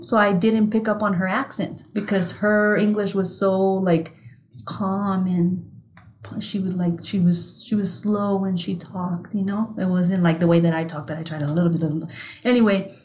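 A woman's voice: medium pitch, steady tone, moderate pace, a little mellow. A woman from Mexico with a Mexican accent, co-hosting a podcast in casual chat, telling stories candidly and swearing freely. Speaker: podcast co-host.

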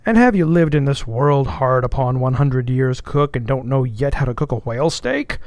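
A man's voice: medium pitch, moderate pace, darkish tone, no echo, close to an everyday voice. A man voicing a bossy ship's officer who teases and commands with mock solemnity in an audiobook. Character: bossy, mocking ship's officer, jauntily interrogating. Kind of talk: audiobook character voice.

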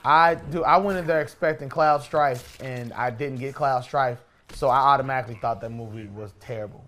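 A man's voice: medium pitch, normal speed, a bit bright. A man co-hosting a podcast in casual debate, opinionated and argumentative, often stammering into restarts. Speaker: podcast co-host. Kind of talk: casual debate while gaming.

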